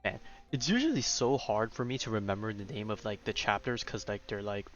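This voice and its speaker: Brief: young male game streamer, lowish voice, informal rambling chat, music behind. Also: brisk, lo-fi sound. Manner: rambling, self-interrupting, informal. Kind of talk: casual livestream chat over gameplay.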